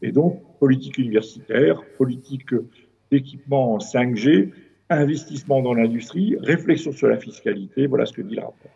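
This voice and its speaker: Gravelly, earnest Frenchman. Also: medium pitch, mellow, lo-fi sound. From France, Avignon. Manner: earnest, measured, with frequent restarts. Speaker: city councillor and report author. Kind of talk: discussion guest explaining a report.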